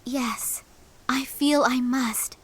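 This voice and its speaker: An actress playing a young princess in a game cutscene, speaking softly and sincerely. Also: female voice, high-pitched, steady tone, very slow, husky, exaggerated voice. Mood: determined. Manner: soft, sincere. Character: young princess, gentle and determined.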